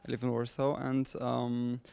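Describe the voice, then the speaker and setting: Gravelly Polish man, hesitant and flat. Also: medium pitch, unhurried. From Poland. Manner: hesitant, shy, short answers. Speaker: film director. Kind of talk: casual panel conversation. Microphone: handheld mic.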